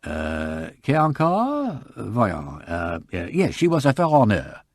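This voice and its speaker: A man's voice: on the low side, huge pitch swings, slow pace, no echo, clean studio sound, heavily acted. A man voicing a chatty young Frenchwoman working as a shop assistant, with a French accent and a raised, lilting voice. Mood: thoughtful. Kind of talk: audiobook character voice.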